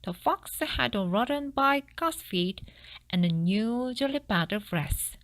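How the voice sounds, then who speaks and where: Woman reading a storybook, slow and lively. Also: a little high, no room sound. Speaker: storybook reader. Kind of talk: expressive children's read-aloud narration. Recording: background music behind the voice. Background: background music.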